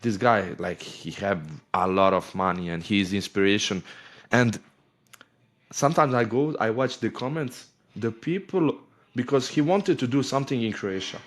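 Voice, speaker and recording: Male interview host, low-ish voice, hesitant and filler-heavy. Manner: halting, searching for words, many fillers. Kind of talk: casual interview conversation.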